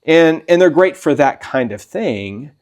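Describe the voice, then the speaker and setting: A man's voice: a little high, lively intonation, measured pace, a little mellow. A man hosting a casual interview, talking informally and often repeating or echoing short phrases. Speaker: host.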